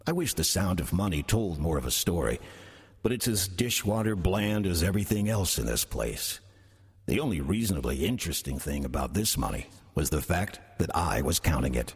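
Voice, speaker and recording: An actor playing a sly, ancient demon posing as an old church usher, wry and sardonic, with an American accent, in an audio drama. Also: male voice, deep voice, expressive, breathy edge, heavily acted. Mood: wry confiding. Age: older. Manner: wry, sardonic, deliberate storytelling. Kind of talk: audio drama first-person narration.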